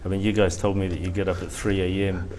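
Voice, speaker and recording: A middle-aged man with a lowish voice gives informal, reflective interview answers. His accent blends Fiji and New Zealand and can pass for South African. There is some noise behind him. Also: level tone, fast talker, darkish tone, poor audio. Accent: mixed Fiji and New Zealand, South African-sounding. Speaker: interview guest, author. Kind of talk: casual interview talk.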